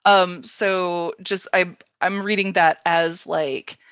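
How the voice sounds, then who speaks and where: A woman hosting a casual panel discussion, thinking aloud informally in halting phrases. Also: fairly low pitch, steady tone, fairly bright tone, clean tone. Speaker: workshop host and panelist. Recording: roomy, slightly dull sound.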